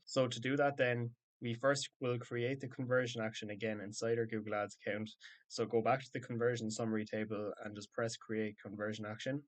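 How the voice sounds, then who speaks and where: A man narrating a step-by-step software tutorial in a matter-of-fact, instructional way. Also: medium pitch. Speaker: tutorial presenter.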